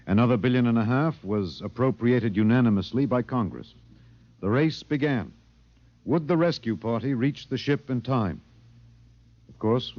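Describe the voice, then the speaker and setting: An actor playing a grave, earnest newsman narrating a 1950s radio drama in a dramatic manner. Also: fairly low pitch. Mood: grave, suspenseful. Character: grave newsman narrator recalling a space tragedy. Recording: dull old radio recording.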